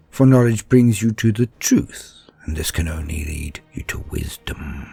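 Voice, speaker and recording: Man voicing a husky, menacing zealot villain. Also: low-pitched, darkish tone, exaggerated voice. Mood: fervent, menacing. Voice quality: gravelly, breathy. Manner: slow, menacing, savouring pauses. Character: scheming, fanatical dark-crusade zealot villain, smugly contemptuous. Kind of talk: dramatic first-person villain monologue in audio story. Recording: music bed under monologue.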